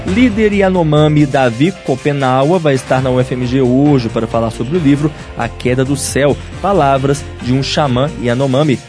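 A man reads a university radio news bulletin from a script. His delivery is clear, steady and announcer-like, with faint music behind him. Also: medium pitch, normal speed, few pauses.

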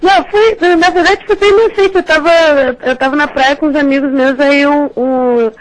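A woman's voice: high-pitched, moderate pace, low-quality recording. A woman with a Capixaba accent, a magazine editor answering interview questions in a chatty, giggly way with many restarts. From Rio de Janeiro.

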